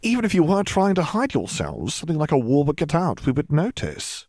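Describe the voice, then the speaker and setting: An inquisitive, sceptical mother, as a male narrator plays her in a story. The pitch is raised and lively, and the manner is polite but probing. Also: brisk, dry recording, clean studio sound. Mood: questioning.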